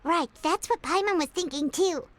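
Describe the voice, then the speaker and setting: A woman voicing a tiny, chatty, excitable female companion creature in an English video game dub, high and squeaky. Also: moderate pace. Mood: curious. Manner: animated, exclaiming and questioning. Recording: game audio with music underneath.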